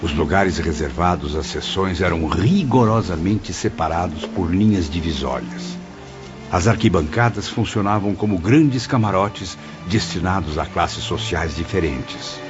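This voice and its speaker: A man's voice: deep voice, animated, unhurried. A man playing a dignified departed memoirist, narrating formally in an audio drama. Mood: reflective. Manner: formal, descriptive. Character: dignified deceased male memoirist recalling the afterlife. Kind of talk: scripted first-person dramatised narration. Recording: dull lo-fi audio with music behind. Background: clear background music.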